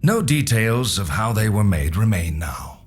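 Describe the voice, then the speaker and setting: A man playing a calm, cryptic older mentor in a video game cutscene, explaining in a measured way. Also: fairly low pitch, a little hiss or hum. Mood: solemn, explaining. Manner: measured, expository.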